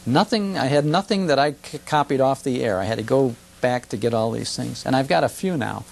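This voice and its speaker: An older man with a gravelly voice, explaining and recounting things conversationally as a broadcaster being interviewed. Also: medium pitch, expressive, brisk. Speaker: radio show broadcaster, interview guest. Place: television studio.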